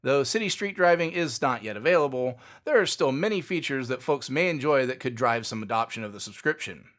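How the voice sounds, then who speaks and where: A man's voice: medium pitch, animated, fairly quick, fairly bright tone. A man hosting a podcast, reading fluent, opinionated scripted commentary on company news. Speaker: podcast host.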